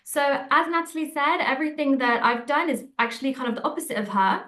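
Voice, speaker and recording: A woman's voice: high voice, animated, fast talker, bright, forceful tone, reverberant. A woman from England, introducing herself as a language school's director of studies in a friendly, fluent way. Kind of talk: self-introduction in a presentation.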